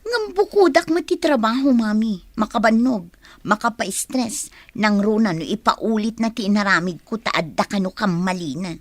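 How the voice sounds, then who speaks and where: A woman's voice: mid-range voice, animated, normal speed, no echo, close to an everyday voice. A woman playing a young office secretary with a Filipino accent, eager to please yet resentful, in a radio drama. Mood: exasperated.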